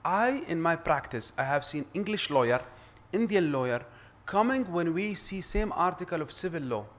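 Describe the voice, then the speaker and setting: A man's voice: a little high, animated, normal speed, a bit bright. Arabic-accented man giving an earnest conference talk. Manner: earnest, emphatic, frequent restarts and repeated words. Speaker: arbitrator and lawyer. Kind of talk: conference panel speech.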